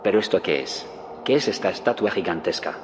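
A man's voice: deep voice, lively intonation, fairly quick. An actor playing a weary, questioning male scientist back from a long absence, earnest and disbelieving, in an audio drama. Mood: bewildered. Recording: reverberant cave with noisy ambience.

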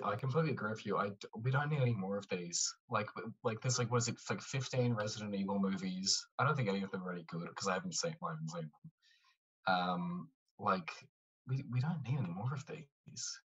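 Male co-host, quick and very husky, casual stop-start delivery, slightly distant recording. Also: lowish voice, a bit bright, dull audio. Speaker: podcast co-host. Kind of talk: casual chat. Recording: distant, off-mic voice.

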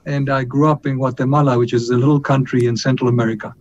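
A middle-aged man from Colombia, a company chief executive answering interview questions in a measured way, with frequent fillers and restarts. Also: flat delivery, a little mellow. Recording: muffled line with faint rumble.

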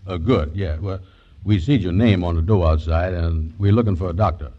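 An actor playing a slow-witted, folksy schemer in 1940s radio dialect comedy, hesitant and stammering, mangling his words. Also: low-pitched, muffled recording. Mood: hesitant, polite. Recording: noisy old 1940s radio recording.

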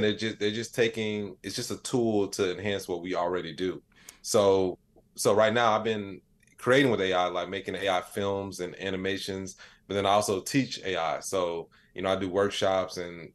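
American guy on a podcast, really low voice, casual storyteller, says like and you know a lot. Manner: animated storyteller, acts out quoted dialogue, peppers talk with "like" and "you know". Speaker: interview guest, AI educator and comedian. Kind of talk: casual podcast interview chat.

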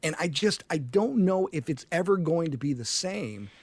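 A man's voice: a little high, animated, normal speed, slightly rough, dry recording. Impassioned Buffalo radio host man. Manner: impassioned, rambling with restarts. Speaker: radio talk show host. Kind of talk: talk radio monologue. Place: radio studio. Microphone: studio microphone.

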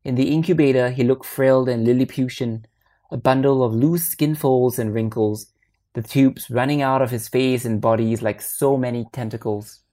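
A woman reading a literary short story aloud as narrator, in a measured and expressive manner. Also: very deep voice.

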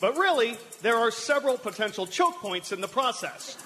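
A man's voice: extremely high pitch, big pitch swings, moderate pace, pushed and bright, exaggerated voice. A man playing a proud, fussy older factory veteran who explains things earnestly and folksily in a comic live radio play. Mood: proud, explaining. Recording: echoey live hall, music behind.

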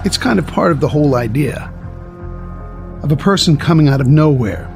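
A man narrating a documentary from a script in a measured, reflective way. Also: on the high side, expressive, vocal fry, music in the background.

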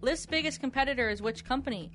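A woman with a fairly high voice reads out trivia questions on a morning radio show. Light music plays underneath her studio microphone. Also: fast talker. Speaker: radio quiz host reading questions.

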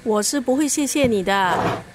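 An actress playing a scornful, sharp-tongued family friend in a radio drama, sarcastic and blunt, with a Singaporean accent. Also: female voice, fairly high pitch, animated, normal speed, background noise, natural voice. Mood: sarcastic, indignant. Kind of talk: Mandarin radio drama acting.

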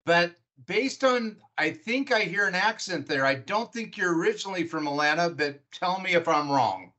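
A man's voice: high-pitched, expressive, moderate pace, sharp tone. A middle-aged man from Washington State with an American accent, hosting a conversational podcast interview. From Washington State, United States.

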